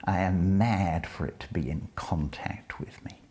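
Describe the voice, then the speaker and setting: A man who introduces a poem and then reads it aloud, in a measured, deliberate way, letting phrase ends fall and slipping into soft whispers at times. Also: low-pitched. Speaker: poetry reader and lecturer. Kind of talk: spoken introduction and poetry reading.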